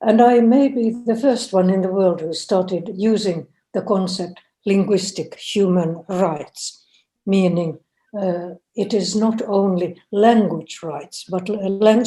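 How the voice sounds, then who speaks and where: A woman, giving a careful, deliberate academic lecture over a video call. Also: mid-range voice, dark tone, low-quality recording. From Finland. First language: Finnish and Swedish. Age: 80s. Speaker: guest lecturer.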